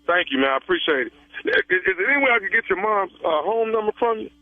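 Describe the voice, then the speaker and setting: A man in his thirties speaks over a thin phone line with no room sound. His fairly high voice sounds casual, and he keeps halting on fillers. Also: lively intonation, dry recording. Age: 30s. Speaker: prank caller. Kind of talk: prank phone call. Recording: tinny dry phone line.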